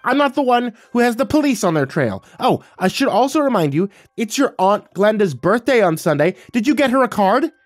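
A man's voice: on the high side, sing-song, brisk, darkish tone, no room sound, faint music behind, pitched above the performer's own voice. A man voicing an older mother who is passive-aggressive, pouty and pointed, in a dramatized story. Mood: scolding. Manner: accusatory, guilt-tripping, pointed.

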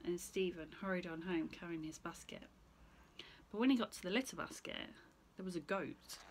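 A woman's voice: on the low side, normal speed, a little hiss or hum, low-quality recording. A woman in her thirties reading a picture book aloud to children as a teacher, asking the listener questions as she goes. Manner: asks the listener questions between story lines. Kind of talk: expressive children's story reading. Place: small bright room indoors, seated in an armchair.

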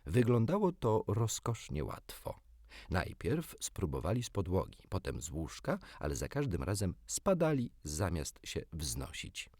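A man's voice: sits low, wildly swooping pitch, a little slow, a little mellow, no room sound, studio quality. A man narrating a children's storybook expressively, as an audiobook reader.

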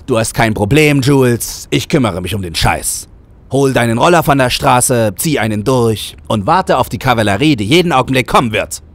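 A man performs an intense, exasperated hitman in a comic audio play. He is indignant and sweary, his pitch swinging widely as he preaches. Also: animated, moderate pace. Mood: agitated.

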